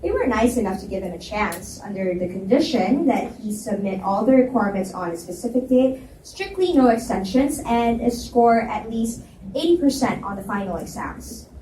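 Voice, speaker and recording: A woman's voice: mid-range voice, animated, normal speed, dark tone. A woman narrating a first-person story aloud at a live indoor reading. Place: indoor reading event at a library. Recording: echoey room, distant mic, faint noise.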